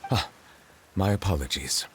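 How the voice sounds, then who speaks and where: An actor playing a dignified dragon lord in human guise for a video game, speaking in a formal, measured, reserved way. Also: male voice, deep voice, heavy background noise, natural voice. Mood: courteous, calm. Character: dignified male water dragon sovereign in human guise, solemn. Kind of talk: English video game voice acting. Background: game music.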